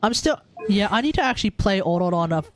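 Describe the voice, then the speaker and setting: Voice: mid-range voice, lively intonation, brisk. A live streamer giving casual gaming commentary, thinking aloud and talking to the chat.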